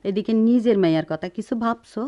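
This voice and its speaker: A woman's voice: medium pitch, quick pace, mellow, muffled recording, lightly acted. A woman playing a scolding middle-aged village wife and mother in a Bengali radio drama, with a rural Bangladeshi accent. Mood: reproachful, nagging. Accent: rural Bangladeshi dialect. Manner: scolding, reproachful.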